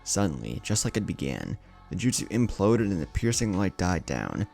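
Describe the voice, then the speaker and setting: A man's voice: deep voice, very animated, normal speed, creaky, a little mellow, soft background music. A man narrating an anime fan story in a dramatic scripted style.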